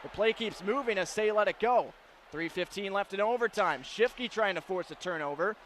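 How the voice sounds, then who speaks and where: Male hockey play-by-play announcer, high register, crisp detailed calls, live broadcast with background arena noise. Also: moderate pace, a bit bright. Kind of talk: live ice hockey commentary.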